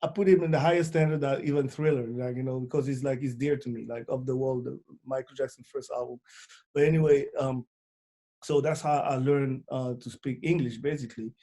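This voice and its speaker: French-accented man, dark and hesitant. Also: medium pitch, fairly even intonation. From Congo, born in France. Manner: halting, many fillers and restarts. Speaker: booking agent, guest. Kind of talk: casual interview.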